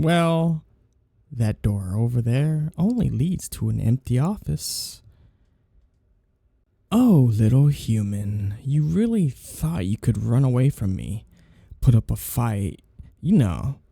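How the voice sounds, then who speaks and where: Vampire lord, male actor, ASMR roleplay: smug, seductive and menacing, unhurried, with swooping intonation, recorded close and dry. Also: mid-range voice, vocal fry, dark tone, dry recording, full character voice. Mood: predatory, flirtatious. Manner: slow, teasing, condescending purr with drawn-out phrases. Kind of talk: ASMR roleplay, intimate scripted monologue.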